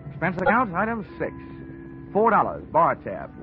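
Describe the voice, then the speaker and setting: Hard-boiled male investigator, deadpan wisecracks, 1940s radio drama, thin vintage sound, music behind. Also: sits high, normal speed, narrow, tinny audio. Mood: wry narration. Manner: wry, deadpan, slangy. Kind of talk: 1940s radio detective acting and narration.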